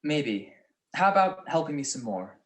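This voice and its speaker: A man's voice: fairly high pitch, very animated, normal speed. A man playing a shrewd, wry married private detective in a 1940s-style radio drama. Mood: cool, probing. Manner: wry, teasing.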